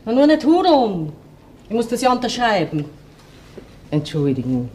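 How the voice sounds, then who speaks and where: Lively, nosy Viennese landlady. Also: a little high, normal speed. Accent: Austrian, Viennese. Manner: gossipy, colloquial dialect. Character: chatty, nosy working-class Viennese landlady. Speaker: actor in a radio crime play.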